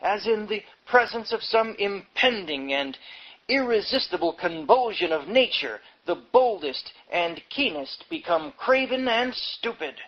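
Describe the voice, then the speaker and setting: A man's voice: sits high, sing-song, unhurried, dull audio. A man reading a book aloud in an emphatic, sardonic manner, as an audiobook narrator given to wry delivery. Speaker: audiobook reader.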